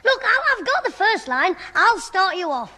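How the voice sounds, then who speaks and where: Very high-voiced cheeky schoolboy. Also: big pitch swings, sharp tone, exaggerated voice. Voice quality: high-pitched, childlike. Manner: pert, quick with backchat. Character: cheeky, wisecracking schoolboy, eager and scheming. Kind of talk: 1960s radio sitcom acting. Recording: noisy old radio recording, boomy hall.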